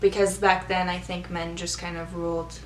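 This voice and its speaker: A woman's voice: on the low side, level tone, moderate pace, slight background noise. Woman in her twenties, distant and echoey. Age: 20s. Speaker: interviewee. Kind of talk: casual interview answers. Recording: distant echoey room mic.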